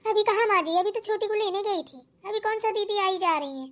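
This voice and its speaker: Casting: very high, girlish village housewife for a family cartoon , voiced by a woman, gossipy and full of complaints. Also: fairly quick. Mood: bickering. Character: chatty village daughters-in-law and nagging mother-in-law, grumbling.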